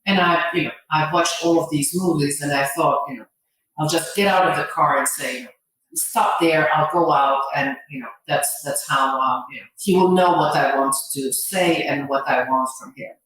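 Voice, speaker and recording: A woman's voice: low voice, steady tone, moderate pace, a bit bright. Echoey, distant woman with Bosnian accent. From Sarajevo, Bosnia. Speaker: motivational speaker and angel investor. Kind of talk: informal motivational talk. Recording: very echoey room, distant microphone.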